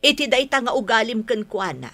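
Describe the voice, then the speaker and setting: A woman's voice: a little high, sing-song, fairly quick, pushed and bright, exaggerated voice. An actress playing a patient, middle-aged Ilocano mother in a radio drama, admonishing her grown daughter in a motherly way. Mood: gently chiding. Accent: Ilocano, northern Philippines.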